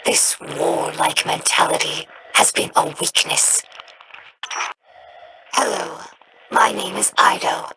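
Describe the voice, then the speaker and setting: A woman voicing an earnest, scholarly young female alien in a video game, speaking in measured, formal, thoughtful phrases. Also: lowish voice, vocal fry, background noise. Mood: earnest, hopeful. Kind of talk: video game character dialogue.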